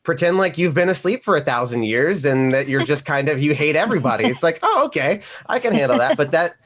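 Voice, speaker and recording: A man's voice: on the high side, sing-song, quick pace, a bit bright. A man who works as a voice actor, talking casually on a remote panel and telling stories with acted-out asides. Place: remote video call.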